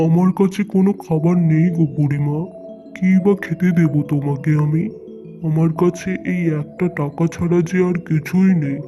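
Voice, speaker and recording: A man's voice: on the high side, fairly even intonation, normal speed, music in the background, natural voice. A man voicing a poor, kind-hearted farmer and father in a cartoon, earnest and plaintive. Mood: anguished, kind.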